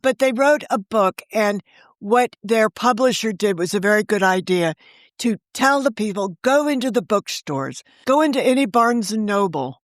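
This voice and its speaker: A woman's voice: mid-range voice, dry recording, studio quality. A woman novelist talking as an interview guest, telling stories in a chatty way and laughing often. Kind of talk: casual interview chat.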